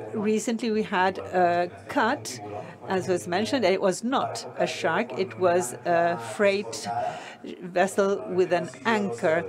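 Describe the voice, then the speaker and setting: A woman giving a steady, measured simultaneous interpretation of a conference talk. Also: mid-range voice. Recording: conference hall with audience noise.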